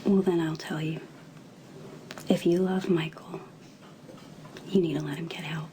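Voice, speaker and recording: An actress playing a caring, candid aunt in a television soap drama, speaking in an earnest, gently persuasive way. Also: female voice, deep voice, steady tone, a little slow. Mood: earnest, pleading. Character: caring, candid aunt urging a child toward help. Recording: clearly noisy background ambience.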